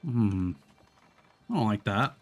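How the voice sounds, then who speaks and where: A man streaming a game, talking casually to himself and a co-player in relaxed, muttered asides. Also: lowish voice, slow pace, a little hiss or hum. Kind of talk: casual gameplay chat.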